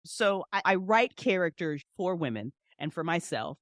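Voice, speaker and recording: A woman's voice: lowish voice, animated, normal speed, dry recording. Effusive woman chatting in a dry-sounding space. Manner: effusive, emphatic, peppers talk with slang and repetition. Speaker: guest, fiction podcast writer and creator. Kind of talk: animated casual interview chat.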